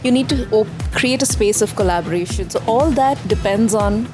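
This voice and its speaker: A woman's voice: mid-range voice, moderate pace. A woman talking as a show guest in an explanatory way. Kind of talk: explanatory interview talk. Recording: music playing behind the voice. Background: music.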